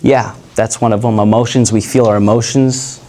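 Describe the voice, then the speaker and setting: A man's voice: on the low side, moderate pace. A man coaching a live workshop group, talking in a loose, rambling way that often circles back and corrects itself. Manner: relaxed, rambling, self-correcting. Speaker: communication coach.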